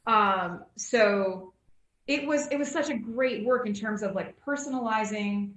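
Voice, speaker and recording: A woman moderating an informal online panel discussion, speaking haltingly as she thinks aloud. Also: mid-range voice, a bit bright, slight background noise. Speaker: panel moderator on a science committee. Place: indoor room, online video call. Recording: roomy, echoey video call.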